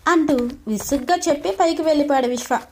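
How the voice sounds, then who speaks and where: A woman's voice: sits high, fast talker, darkish tone. A woman storyteller reading a scripted domestic story as narrator.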